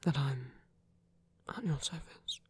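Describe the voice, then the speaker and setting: A performer voicing a gentle, protective vampire priest in a soft, husky whisper, tender and hesitant, as intimate ASMR roleplay. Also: mid-range voice, takes it slowly, dry recording. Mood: devoted, soft.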